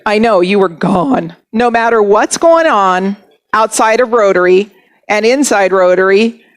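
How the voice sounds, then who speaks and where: A woman's voice: mid-range voice, unhurried. A woman emceeing a club lunch meeting with casual, chatty announcements and a self-deprecating manner. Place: banquet room at a lunch meeting.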